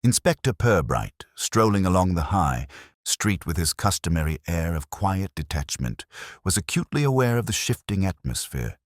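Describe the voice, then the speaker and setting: Very deep male storyteller. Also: very animated, no echo, studio quality. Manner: measured, literary storytelling. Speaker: audiobook narrator. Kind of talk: scripted narration of a mystery story.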